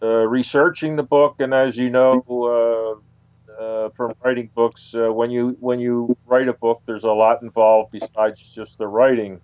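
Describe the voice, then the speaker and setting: A man answering as an author guest on a radio interview, halting and deliberate in his phrasing. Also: medium pitch, flat delivery, a little mellow, clean tone.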